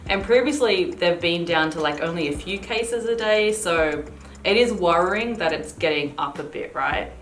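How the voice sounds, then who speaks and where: A woman's voice: on the low side, lively intonation, moderate pace. A woman in her thirties co-hosting a casual chat, talking freely with plenty of fillers. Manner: chatty, uses many fillers. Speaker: co-host. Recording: echoey room with faint hiss.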